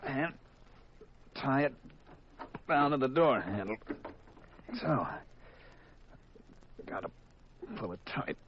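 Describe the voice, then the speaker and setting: An American actor playing a coolly menacing, hard-boiled killer in a deliberate, mock-polite manner in a 1950s radio mystery. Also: male voice, mid-range voice, animated, a little hiss or hum. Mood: controlled menace. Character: cold, confessing killer, male insurance man, coolly menacing. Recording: muffled, dull 1950s radio recording.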